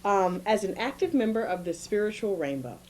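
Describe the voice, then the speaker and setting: A woman co-hosting a community cable show, chatty and quick to laugh. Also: medium pitch, expressive, darkish tone, slight background noise, low-quality recording. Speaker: co-host. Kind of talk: casual studio chat. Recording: old analog tape.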